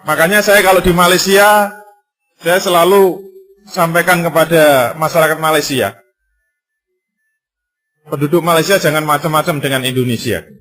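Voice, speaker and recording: A male Islamic preacher giving a sermon, full of rhetorical questions and emphatic repetition, lively and sometimes joking. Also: high voice. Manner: lively, conversational and jokey in places.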